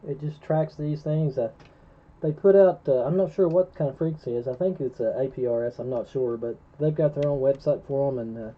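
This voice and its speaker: A man talking casually and informatively as an operator on an amateur radio net. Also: medium pitch. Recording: dull lo-fi ham radio audio.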